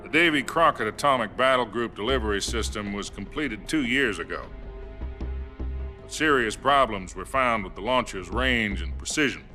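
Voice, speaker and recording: An actor playing an American president in a game cutscene, calm and placating. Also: male voice, on the low side, moderate pace, soft background music. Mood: explaining. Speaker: US president on a hotline call. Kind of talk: video game cutscene acting. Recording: game audio with faint score.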